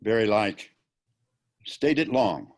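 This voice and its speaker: A brooding, grieving young prince, acted by an amateur male reader, with a lowish voice and a very unhurried pace. The verse is deliberate and theatrical, in the manner of a Shakespeare stage reading. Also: sing-song, exaggerated voice. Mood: troubled, probing. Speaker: amateur volunteer actor. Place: indoors, on a video call. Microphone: video call.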